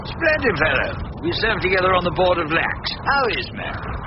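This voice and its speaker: A priggish senior barrister, full of his own importance. He speaks formally in a raised, very animated pitch. It is a muffled radio drama recording with clear noise. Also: fairly quick. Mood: uneasy. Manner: pompous, self-important, formal. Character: pompous, priggish middle-aged male senior barrister. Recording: dull, noisy lo-fi radio recording.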